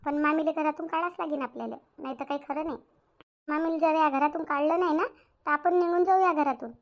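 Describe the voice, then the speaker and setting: A woman's voice: high-pitched, quick pace, lo-fi sound. A woman voicing an outspoken, grumbling young daughter-in-law in a Marathi cartoon, with a rural Varhadi accent. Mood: resentful. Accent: rural Maharashtra, Varhadi Marathi. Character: indignant young village daughter-in-law, outspoken, complaining, caring. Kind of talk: domestic cartoon story dialogue.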